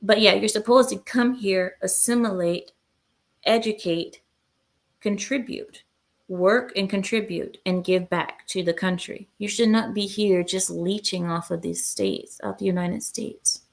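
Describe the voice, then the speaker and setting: Woman, gravelly vocal fry, casual livestream chat, blunt and opinionated, hesitant restarts. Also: medium pitch, steady tone, a little slow. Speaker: livestream host. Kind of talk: casual livestream chat, reading viewer comments.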